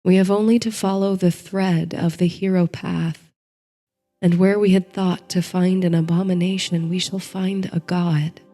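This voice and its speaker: Soft, very slow woman narrating sleep stories. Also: lowish voice, flat delivery. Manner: soothing, gentle, hushed, unhurried delivery. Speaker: host and narrator of a sleep story series. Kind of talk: guided meditation bedtime story, scripted narration. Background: soft music.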